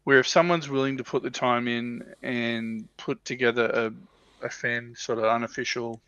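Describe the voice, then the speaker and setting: A man with a mid-pitched voice chats informally as a livestream co-host, thinking aloud as he goes. The sound is dry and close, but somewhat muffled and lo-fi. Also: steady tone, moderate pace, sharp tone, smooth, dry recording. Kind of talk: casual hobby chat.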